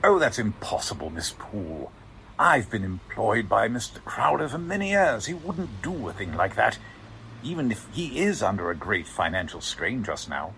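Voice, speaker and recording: An actor playing a polite, defensive warehouse manager in a 1940s radio drama, formal and hesitant in manner. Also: male voice, fairly low pitch, big pitch swings, moderate pace, creaky, a bit bright, slight background noise, exaggerated voice, rough recording. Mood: courteous, obliging. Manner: courteous, hesitant, fussily formal.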